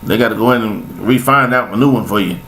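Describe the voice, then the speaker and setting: A man's voice: lowish voice, fast, a bit bright, slight background noise, low-quality recording. An older man, talking in a rambling, preachy monologue, repeating himself with folksy sayings. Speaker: vlogger giving life commentary.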